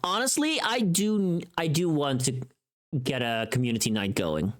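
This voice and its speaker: A livestream host chatting casually with viewers in an animated, informal, sweary way. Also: mid-range voice, moderate pace.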